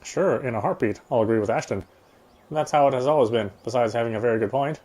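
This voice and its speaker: A man's voice: medium pitch, fast talker, a little mellow. A man reading game text aloud as a player, in the style of a let's play with casual commentary.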